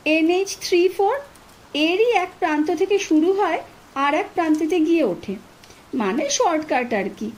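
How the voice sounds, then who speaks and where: A woman's voice: extremely high pitch, lively intonation, unhurried, rough recording. A woman voicing a fearless, scornful older male cousin who talks boastfully and colloquially in a horror story. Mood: eager, recounting.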